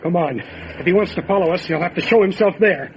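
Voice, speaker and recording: An actor playing a tough, commanding sheriff in a radio horror drama, speaking in a terse, urgent way. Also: male voice, high-pitched, fast talker, lightly acted. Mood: urgent, alarmed. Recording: muffled radio drama with faint noise.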